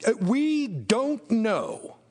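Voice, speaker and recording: An actor playing a suspicious, challenging male chief priest with a husky voice in a scripture drama. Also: high-pitched, huge pitch swings, extremely slow, a little mellow, exaggerated voice. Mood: wary scheming.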